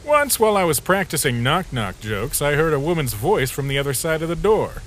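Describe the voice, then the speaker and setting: A man's voice: fairly high pitch, sing-song, fairly quick, fairly bright tone, a little hiss or hum. A man voicing an easygoing, joke-loving skeleton sentry in a comic dub, casual and musing. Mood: wistful, musing. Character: easygoing skeleton sentry who loves jokes, troubled by nightmares. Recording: music behind the voice.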